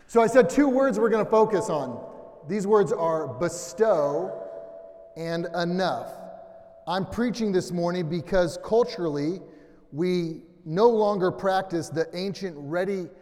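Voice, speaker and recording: A middle-aged American man giving a conversational sermon with personal anecdotes and an informal, self-deprecating manner. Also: a little mellow. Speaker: preacher. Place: large indoor church room, amplified. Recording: boomy echoing hall, long reverb.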